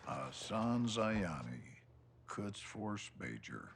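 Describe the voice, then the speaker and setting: A man playing a gruff, authoritative senior commander with a gravelly voice in a military game. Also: on the low side, sing-song, very slow, a little hiss or hum, exaggerated voice. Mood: gruff, decisive.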